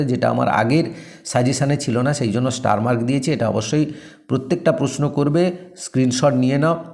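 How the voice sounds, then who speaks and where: A man's voice: medium pitch, a little mellow. Man giving an instructional exam revision. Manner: direct, instructive, addressing students. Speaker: exam-prep teacher and presenter. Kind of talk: instructional exam revision, reading questions and answers aloud.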